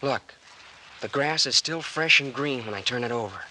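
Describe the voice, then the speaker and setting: A man's voice: mid-range voice, moderate pace, bright, forceful tone. An actor playing an earnest, stubborn young man with a protective streak, in old-time radio drama style. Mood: insistent. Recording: noisy vintage radio recording.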